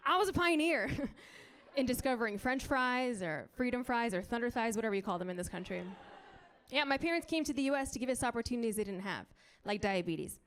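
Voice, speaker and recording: Young woman, stand-up comedian, higher voice, wry punchy delivery, handheld mic, club stage, faint crowd hum. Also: animated, fairly quick, a bit bright. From United States (Colorado).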